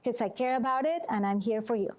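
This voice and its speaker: Earnest Venezuelan-accented woman, fairly high voice. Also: darkish tone. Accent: Venezuelan Spanish. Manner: earnest, halting phrasing with frequent "okay?" check-ins. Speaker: massage therapist speaking to a support group. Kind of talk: informal health talk to a group.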